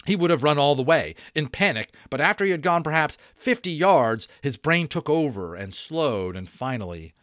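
A man in his thirties narrates an audiobook with very lively, swooping intonation. He leans on key words and pauses deliberately for drama. The sound is close and dry. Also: a little high, dry recording. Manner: expressive, deliberate pauses and stressed repeats. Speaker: audiobook reader. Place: small room at home. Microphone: desk microphone close in front.

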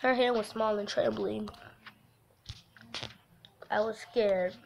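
A woman's voice: mid-range voice, steady tone, takes it slowly, vocal fry, darkish tone, a little hiss or hum. A giggly woman hosting a casual game livestream, chatting and reading aloud from a visual novel. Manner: giggly, breaks off into laughter. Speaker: livestream host.

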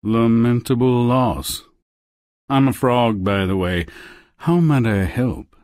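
A man's voice: mid-range voice, slow, darkish tone, close to an everyday voice. A man voicing a suave, wheedling, theatrical frog who is secretly a king, in a fairy-tale video game. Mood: suave, coaxing. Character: smug, courtly male frog, secretly a king.

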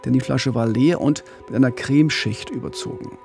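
A middle-aged man hosting a true-crime podcast, telling the story with a gravelly, husky voice on a studio mic. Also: mid-range voice, brisk, darkish tone, faint music behind. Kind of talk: podcast storytelling, partly scripted. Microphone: studio mic with pop filter, headphones.

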